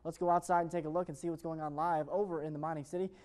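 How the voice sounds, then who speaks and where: A man's voice: a little high, level tone, racing, a little mellow. An American man presenting an ad-libbed television weather forecast in an upbeat, chatty way.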